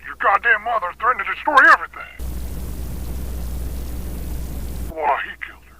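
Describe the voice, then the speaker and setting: A hissy, noisy recording carries a fanatical father in an audio drama. He is intense and guilt-ridden, his fairly high voice halting as he confesses. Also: pushed and bright, heavily acted. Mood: seething, bitter. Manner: intense, halting, swinging from whispers to outbursts. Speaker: voice actor. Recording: noisy, hissy taped message.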